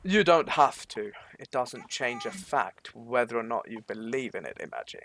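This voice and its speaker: A man's voice: medium pitch, expressive, moderate pace, fairly bright tone, a little hiss or hum. A man from Norway giving casual live esports commentary, trading banter with dry, teasing understatement. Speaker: esports match caster.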